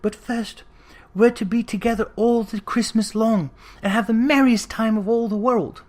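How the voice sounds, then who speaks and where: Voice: medium pitch, fairly even intonation, normal speed, darkish tone, pitched above the performer's own voice, natural voice. A narrator voicing an affectionate, eager young girl in a classic storybook, full of glee. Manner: eager, breathless delight. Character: little Fan, gleeful affectionate young girl.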